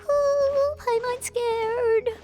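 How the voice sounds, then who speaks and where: A woman playing a tiny, excitable, easily scared childlike fairy companion in a video game. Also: very high-pitched, very slow, soft background music, exaggerated voice. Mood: startled, worried. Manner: refers to self in third person, exclaiming. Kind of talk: video game character acting.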